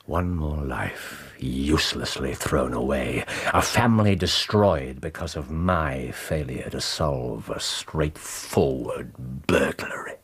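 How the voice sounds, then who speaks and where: A man's voice: rumbling low, slow, few pauses, husky, full character voice. An actor playing a brilliant, brooding English detective in a British radio drama, precise and theatrical in his speech. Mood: grave regret.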